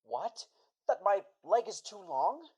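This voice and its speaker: A woman plays a foolish, pompous gentleman suitor in a radio comedy. The delivery is giddy and leaps around in pitch, yet the tone stays soft and dark. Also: big pitch swings, takes it slowly, husky, heavily acted. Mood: eager, giddy.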